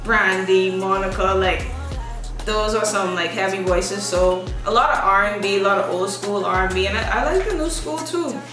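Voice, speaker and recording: A young woman with a gentle lilt talks easily about her music and style, drifting loosely from thought to thought. Her voice sits at a middle pitch. She is caught from some distance in an echoing room, with music clearly audible underneath. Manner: soft-spoken, relaxed, loose rambling phrasing. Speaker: musician guest. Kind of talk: casual interview answers.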